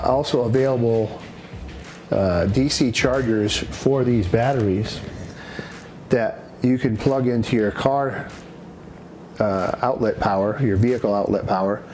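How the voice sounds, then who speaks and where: A man giving an unscripted product demonstration in a halting, matter-of-fact way, as a presenter explaining equipment. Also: fairly low pitch, darkish tone, slight background noise. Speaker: presenter demonstrating radio gear. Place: indoors in a house.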